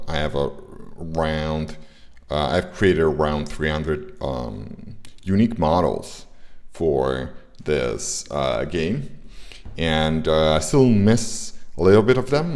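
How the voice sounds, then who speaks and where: A man's voice: very deep voice, darkish tone. A young man with an Italian accent, hosting a casual game development livestream and talking with frequent hesitations. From Italy. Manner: hesitant, many fillers and restarts. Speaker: indie game developer streaming.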